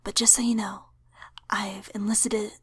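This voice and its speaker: A woman's voice: mid-range voice, little pitch movement, normal speed, husky, no echo. A young woman whispering a casual thank-you to viewers as the creator of an audio drama. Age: young adult. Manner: whispering, informal.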